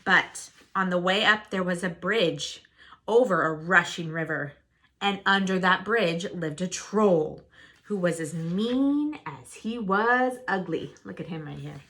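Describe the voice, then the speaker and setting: A woman teacher reading a storybook aloud and teaching children, expressive, posing questions to her listeners. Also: on the low side, unhurried. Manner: expressive, asks listeners questions and answers them.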